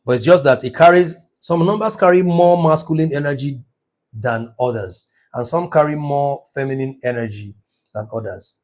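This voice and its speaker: A man's voice: mid-range voice, moderate pace, a little mellow. A man giving a numerology lesson, speaking emphatically and checking in with his listeners as he goes. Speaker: numerology teacher. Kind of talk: teaching talk.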